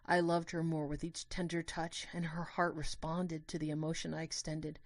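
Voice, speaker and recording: Woman as a low-voiced, lovestruck student narrator. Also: level tone, normal speed, no echo. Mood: wistful, anguished. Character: lovestruck, guilt-ridden young human student narrator. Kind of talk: dramatic first-person audio drama narration.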